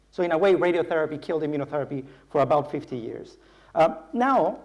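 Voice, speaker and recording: A man with a somewhat high voice lectures to a general audience in a university lecture theatre. He explains patiently and builds each sentence aloud, often repeating words along the way. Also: darkish tone. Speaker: scientist giving a public lecture.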